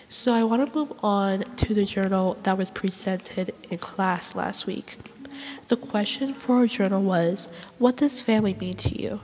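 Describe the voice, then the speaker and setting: A woman's voice: medium pitch, a little mellow, in a large hall. A young woman with a congested voice, sick with a cold, speaking outspokenly in a solo class podcast reflection. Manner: outspoken and opinionated. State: congested from illness. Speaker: education student.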